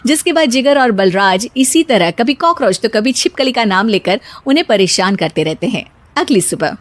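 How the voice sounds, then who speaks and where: Lively woman cartoon narrator. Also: on the high side, normal speed, no echo. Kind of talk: scripted storytelling narration.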